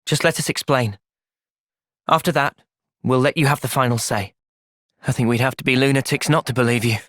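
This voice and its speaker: A man's voice: mid-range voice, steady tone, fairly quick, a bit bright, no echo, clean studio sound, natural voice. A man playing an earnest, thoughtful young soldier hero with a British accent in a video game. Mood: calm, resolute. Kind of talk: video game cutscene voice acting.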